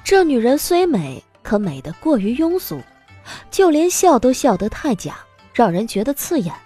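A woman narrating a scripted audio drama in an expressive storytelling manner. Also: on the high side, normal speed, slightly rough. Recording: music bed behind the voice.

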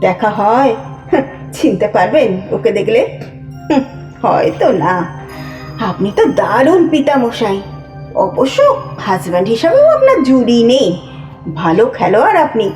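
A woman plays a stern policewoman in a radio drama, scornful and commanding in a high voice. The recording has a roomy echo and faint music. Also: very animated, unhurried, long pauses, darkish tone. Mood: scornful, sarcastic. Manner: commanding, scornful, drops in English phrases. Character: stern, sarcastic female police officer making an arrest. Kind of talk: audio drama acting.

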